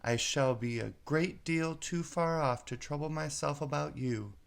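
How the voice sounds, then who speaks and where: Storybook young girl, played by a man: lifted pitch, bewildered, dramatic, self-chiding. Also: measured pace, gravelly. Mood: fretful. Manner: exclamatory, musing aloud to herself. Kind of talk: children's storybook character voice.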